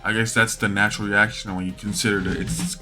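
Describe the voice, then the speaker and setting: A man reading a visual novel aloud, acting out dialogue in an animated way and adding asides. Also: lowish voice, quick pace. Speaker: gamer playing a visual novel. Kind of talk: dramatic read-aloud of game text with asides. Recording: music behind the voice.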